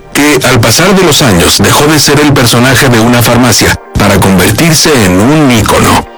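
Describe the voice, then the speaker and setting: A man's voice: medium pitch, a bit bright. A man narrating a scripted feature report in a polished, upbeat promotional delivery.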